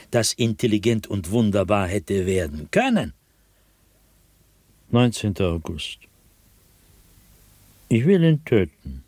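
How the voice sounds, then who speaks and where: An actor playing a tormented, desperate man obsessed with an unseen being, in a theatrical, halting German radio drama monologue. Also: mid-range voice, darkish tone, no room sound. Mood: agitated, scornful. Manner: halting, repetitive, dramatic, with anguished emphasis.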